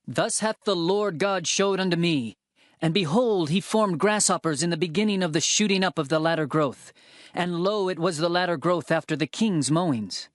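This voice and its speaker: A man's voice: high voice, moderate pace, dry recording, clean studio sound, lightly acted. An actor playing an earnest, bold herdsman prophet, declaiming in a dramatized scripture narration. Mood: solemn, narrating. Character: earnest male prophet Amos, herdsman turned bold messenger.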